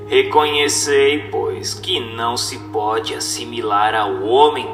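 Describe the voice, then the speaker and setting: A man's voice: medium pitch, fairly bright tone. A man giving a dramatized, oratorical reading of a scripted text in an emphatic, didactic manner. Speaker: narrator reading a spiritist text. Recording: echoey hall reverb with music. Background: music.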